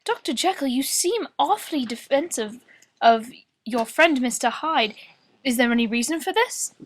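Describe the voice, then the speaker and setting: Radio play host female actor: high voice, courteous, inquisitive, easily flustered. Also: expressive, moderate pace. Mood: probing. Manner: formal, courteous, hesitant when taken aback.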